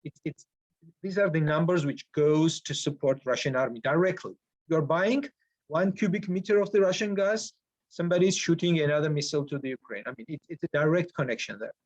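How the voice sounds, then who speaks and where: A man's voice: fairly high pitch, no echo. Hesitant man. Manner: halting, searching for words, many fillers. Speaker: panelist. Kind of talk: panel discussion answer. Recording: dry, dull lo-fi sound.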